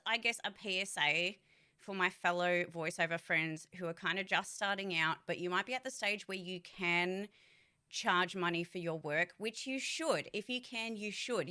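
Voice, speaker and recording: Young woman streamer, bright and forceful, blunt and chatty, swears freely. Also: on the low side, clean tone. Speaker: voice actor and streamer. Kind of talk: casual livestream chat to viewers.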